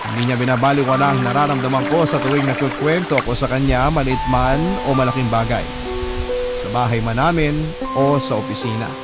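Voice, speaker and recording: A man's voice: mid-range voice, fairly even intonation, a little fast. A man narrating a love-story letter in an earnest, polite, confessional way, in the manner of a radio drama. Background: music.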